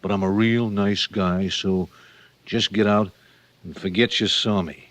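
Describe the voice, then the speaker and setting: A man's voice: fairly low pitch, steady tone, unhurried, a bit bright, no room sound. An actor playing a menacing, sardonic gunman in 1940s radio crime drama, clipped and sarcastic. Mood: cool menace. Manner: hard-boiled, clipped, sarcastic.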